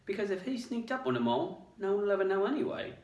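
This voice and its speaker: A man voicing a nervous, excitable teenage schoolboy who stammers and talks eagerly. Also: high voice, fast, dull audio, voice pushed up. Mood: eager, uneasy. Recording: roomy, distant mic.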